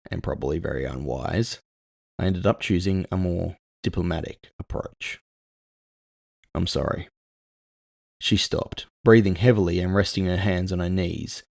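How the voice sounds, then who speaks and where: A male narrator reads first-person fiction with a measured, brooding delivery. His voice sits low, with a gravelly creak, and the recording is close and dry. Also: darkish tone, dry recording.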